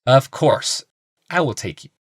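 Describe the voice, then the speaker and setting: Man acting a dry, higher-voiced young healer. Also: measured pace, breathy edge, dry recording. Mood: dryly dutiful. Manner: flat, matter-of-fact. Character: young male healer, flat, dutiful, faintly smug.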